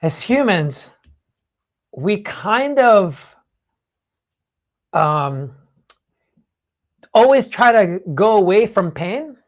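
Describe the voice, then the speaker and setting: A man's voice: sits high, big pitch swings, very slow, pauses often. A man hosting a podcast in casual motivational talk, explaining things in an informal, rambling way. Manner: informal, rambling explanations peppered with "like" and "you know". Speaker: podcast host.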